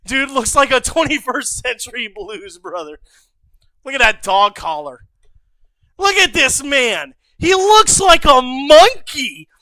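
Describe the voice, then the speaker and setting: A man reacting on a stream in a casual, foul-mouthed way, often bursting into laughter and shouts. His voice sits high and jumps all over the place, with a bright, sharp edge. The sound is dry and close to the mic. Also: sing-song, takes it slowly, pauses often, dry recording, low-quality recording. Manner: swears constantly, breaks into loud laughter and shouts. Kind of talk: crude casual reaction commentary.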